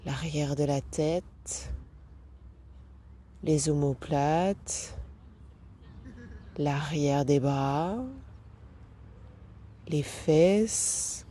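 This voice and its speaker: A woman guiding a yoga nidra meditation as a teacher, speaking calmly and gently with long pauses between phrases. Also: low voice, slight background noise. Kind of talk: guided meditation, soothing instruction.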